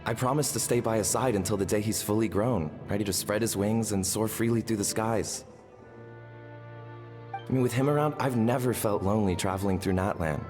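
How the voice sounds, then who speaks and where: A man's voice: lowish voice, fairly quick, a little mellow, faint music behind. A man playing a devoted, earnest carer of an animal companion in a video game, heartfelt in delivery, with an echoing flashback effect. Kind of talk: video game character acting. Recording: long reverberant echo effect.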